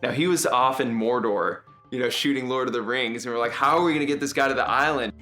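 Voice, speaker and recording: A man, a producer, recounting casting stories in an animated way. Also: medium pitch, fast, soft background music. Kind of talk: featurette interview.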